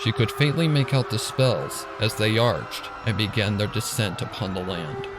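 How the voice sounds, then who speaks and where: A man's voice: lowish voice, expressive, normal speed, noisy background. A man reading scripted fan fiction as a dramatic narrator. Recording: loud music and effects behind. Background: loud music.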